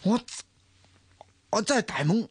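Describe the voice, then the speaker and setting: A man's voice: sits high, expressive, measured pace, husky, no echo, full character voice. A man acting an impatient, argumentative hospital patient in a Hong Kong Cantonese radio drama. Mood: exasperated. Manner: blunt, argumentative. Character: impatient, exasperated male hospital patient insisting on his identity.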